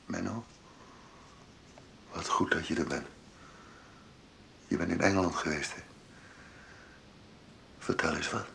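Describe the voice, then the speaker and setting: A man voicing a big, gentle, guileless teenage boy in a radio drama, with a creaky voice. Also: low-pitched, fairly even intonation, slow pace, pauses often, a little hiss or hum, voice pushed down, exaggerated voice. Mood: weak, friendly. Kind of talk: quoted lines in a radio drama monologue.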